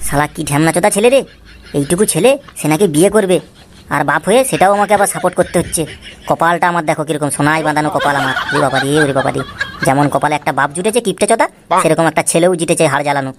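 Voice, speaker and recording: Woman as a rapid-fire grumbling cartoon dad. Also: deep voice, slight background noise, close to an everyday voice. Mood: angry, exasperated. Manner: grumbling, wheedling, repetitive. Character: exasperated foul-mouthed father, sly and falsely coaxing. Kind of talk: comic cartoon dialogue. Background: music.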